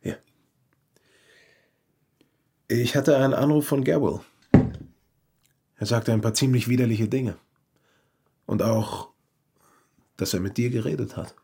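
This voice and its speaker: Nervous polite man in a radio crime play, husky breathy voice, hesitant and earnest. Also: medium pitch, normal speed, long pauses, mellow. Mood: guarded, uneasy. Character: David Sullivan, uneasy, well-mannered man confessing an affair. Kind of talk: German crime radio drama acting.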